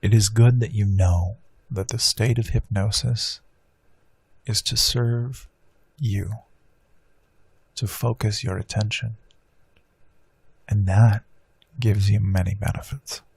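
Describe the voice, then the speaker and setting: A man leading a guided hypnosis meditation, speaking slow soothing affirmations that drift into soft whispers. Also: on the low side, steady tone, vocal fry, breathy edge.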